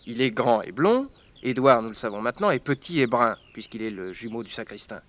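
The detective's young nephew in an old radio play. He talks fast and bluntly, already certain of his conclusions. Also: medium pitch, big pitch swings, a bit bright. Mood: impatient. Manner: impatient, quick, blunt. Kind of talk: old French radio drama acting.

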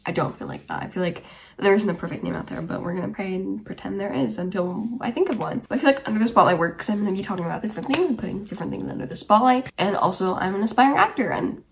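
A woman's voice: medium pitch, expressive, very fast, creaky, slight background noise. A woman around twenty from Germany, hosting her podcast in casual, rambling chat to camera in a small room. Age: about 20. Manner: rambling, chatty, tangent-prone. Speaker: podcast host. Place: small bedroom, indoors.

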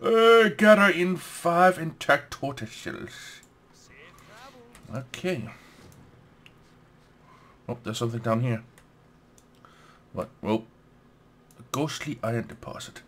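A young man with a Danish accent, a native Danish speaker, giving casual let's play commentary, excitable, swearing and laughing at the game as he plays. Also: fairly high pitch, takes it slowly, pauses often. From Denmark.

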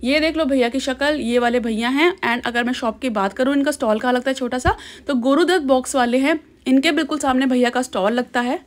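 A young woman filming a chatty shopping vlog as she walks through a busy outdoor market, talking to the viewer through a handheld camera or phone. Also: sits high. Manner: chatty, keeps asking "ठीक है". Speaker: shopping vlogger.

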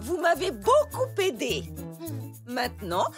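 A woman voicing a kindly old zebra grandmother in a children's cartoon, gentle and encouraging as she instructs. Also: high voice, wildly swooping pitch, takes it slowly, music in the background, exaggerated voice. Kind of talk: children's animated cartoon acting.

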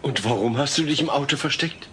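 A man's voice: medium pitch, brisk, pushed and bright, close to an everyday voice. An actor playing an earnest, exasperated middle-aged father in a dubbed sitcom audio play. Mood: concerned. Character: exasperated, rational suburban father. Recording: noisy old cassette audio.

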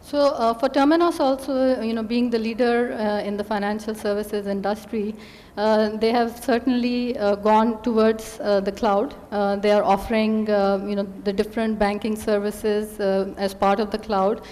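A woman's voice: medium pitch, flat delivery, a little mellow, slight background noise. Woman panelist in a reverberant hall. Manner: measured, frequent filler pauses. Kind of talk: conference panel answer. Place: large hotel conference hall. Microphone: table stand mic.

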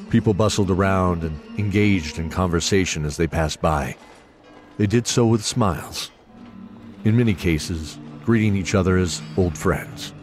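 A man with a deep voice narrates a fantasy audio drama from a script. Music is clearly present behind him.

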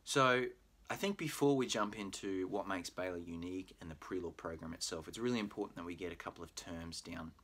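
Deep-voiced Australian man explaining calmly. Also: a little fast. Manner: friendly, explanatory, with frequent "uh" fillers. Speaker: pre-law program manager. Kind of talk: recorded admissions presentation. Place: home room with bookshelf, indoors.

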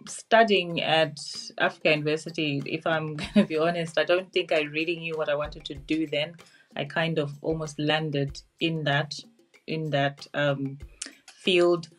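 A woman with a Zimbabwean accent tells her own story informally to camera. Her pitch stays level, with little rise or fall, and she speaks in a reflective, candid way. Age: 40s. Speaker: interview guest, social worker. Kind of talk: informal personal account to camera. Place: small curtained room at home, indoors.

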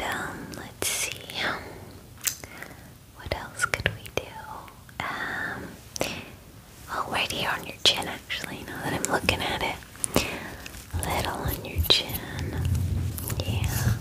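A young adult woman doing a whispered ASMR personal-attention roleplay as a spa groomer, gentle and soothing, with a breathy voice and softly repeated words, close to the mic in a small room. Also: low-quality recording. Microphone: close mic, speaking near it.

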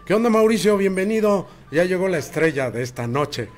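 Teasing Mexican host, higher voice, guitar behind. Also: male voice, expressive. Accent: Mexican Spanish. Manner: joking, teasing, quick banter. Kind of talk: casual chat on a live night show. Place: small decorated studio with colored lights. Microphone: desk microphone on a boom arm.

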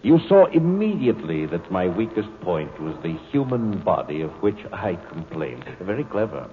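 A cold alien overlord, deep and aloof. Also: male voice, big pitch swings, normal speed. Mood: detached. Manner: detached, superior, precise. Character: cold alien male Core overlord in human body. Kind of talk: 1950s radio drama acting. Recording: dull old radio broadcast with faint hiss.

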